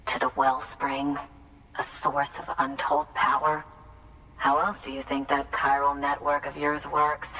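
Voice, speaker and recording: A grandiose, eccentric woman mystic from a video game. She has a very deep voice for a woman and speaks portentously. She sounds a little distant, with faint noise. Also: monotone, unhurried, bright, forceful tone, full character voice. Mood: eager, mystical. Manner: portentous, theatrical.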